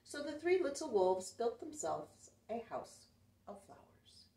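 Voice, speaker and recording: A woman reading a picture book aloud to children in an animated, expressive way, recorded in an echoey room a little away from the mic. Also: on the high side, measured pace, far from the mic. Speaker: children's storyteller.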